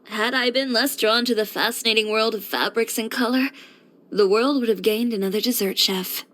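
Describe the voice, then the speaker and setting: A woman's voice: on the high side, normal speed, fairly bright tone, natural voice. A young woman voicing a confident, blunt fashion designer and boutique owner in a scripted video game, with a poised, direct delivery. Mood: thoughtful, determined. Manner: self-assured, direct, poised with dry sighs.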